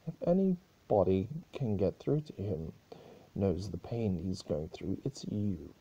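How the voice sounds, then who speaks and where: Man voicing a husky, mischievous male chaos spirit. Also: low voice, very animated, takes it slowly, warm tone, lo-fi sound, heavily acted. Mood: earnest. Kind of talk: dramatic fan-fiction reading.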